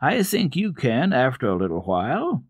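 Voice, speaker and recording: A man's voice: mid-range voice, huge pitch swings, moderate pace, husky, no room sound, pitched above the performer's own voice. A man voicing an eager, bossy and determined little girl in a children's storybook, emphatic and earnest. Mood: eager, insistent. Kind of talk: dramatic children's storybook reading.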